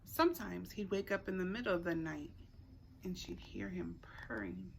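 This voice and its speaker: A woman teacher reading a picture book aloud to young children, expressively, pausing to put questions to the listeners. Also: fairly low pitch, big pitch swings, gravelly, background noise. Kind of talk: read-aloud story time for young children.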